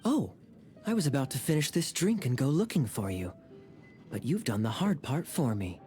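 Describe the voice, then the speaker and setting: An actor voicing a suave, teasing young knight captain in a video game, with a smooth, wry, theatrical delivery. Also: male voice, mid-range voice, very animated, moderate pace, darkish tone, soft background music, natural voice. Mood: playful, formal.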